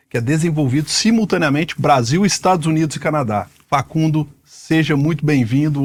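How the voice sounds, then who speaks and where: A man in his forties hosts a podcast and leads the interview with eager, emphatic phrasing. His voice sits in a middling pitch for a man. He frames his questions warmly and keeps the exchange moving. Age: 40s. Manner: enthusiastic, emphatic introductions. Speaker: podcast host.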